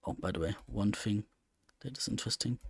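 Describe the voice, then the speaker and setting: A man's voice: on the low side, a little fast, vocal fry, a little hiss or hum. A man giving casual, unscripted gameplay commentary, hesitant and rambling as he thinks aloud. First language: German. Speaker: let's play host.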